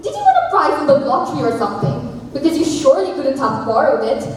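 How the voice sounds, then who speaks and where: Woman playing a sober, proud widow, high distant voice. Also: wildly swooping pitch, fast talker, darkish tone. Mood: questioning. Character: poor widowed woman, sober, proud, cautious old friend. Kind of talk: school stage play acting. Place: school stage in a hall. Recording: echoey hall, distant mic, faint noise.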